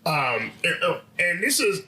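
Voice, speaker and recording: Middle-aged male coach, raspy carrying voice, earnest, high-pitched. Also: very animated, measured pace, bright, forceful tone. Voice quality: raspy voice that carries. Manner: passionate, earnest. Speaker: basketball coach, academy owner. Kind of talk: podcast discussion.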